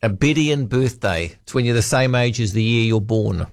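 A man with a New Zealand accent hosts a late-night talkback show, talking in a dry, offhand, chatty way. His voice sits at an ordinary pitch and is recorded close and completely dry in the studio. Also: expressive, normal speed, dry recording. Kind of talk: casual talkback radio chat. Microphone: studio broadcast mic.

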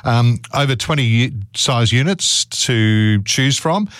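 A man's voice: medium pitch, lively intonation, fairly bright tone, no room sound. Australian male radio host, dry studio. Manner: brisk, encouraging, reads ads with fillers. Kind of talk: radio interview and live-read ad.

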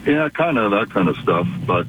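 A male radio caller with a very deep voice, talking in a hesitant, relaxed way. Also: normal speed, slightly rough, phone-quality sound.

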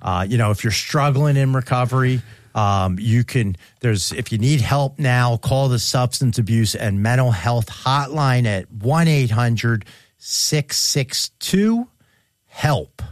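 Male co-host, mid-low voice, dry room, sincere and steady. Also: slow pace, no room sound. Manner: earnest, reassuring toward listeners. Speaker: radio show co-host. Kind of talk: casual radio chat.

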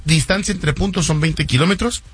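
A man's voice: a little high, fairly quick, breathy edge, soft background music. A Mexican man co-hosting sports talk radio, teasing his colleagues and setting up jokes with questions.

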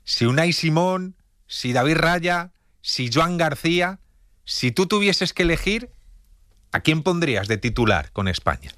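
A man's voice: a little high, animated, a little slow, pushed and bright, dry recording, clean studio sound. A man with an accent from Spain, hosting a conversational sports interview in an encouraging, reassuring manner. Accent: Spain Spanish. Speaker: interview host.

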